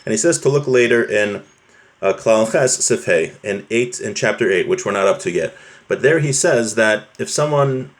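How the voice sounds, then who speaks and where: A man's voice: on the low side, normal speed. A man teaching an informal religious class, reading from a text and explaining it conversationally, often checking in with his listeners.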